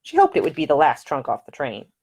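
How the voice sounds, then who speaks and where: A woman author narrating her own novel as an audiobook in a measured, expressive way. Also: low voice, slightly rough.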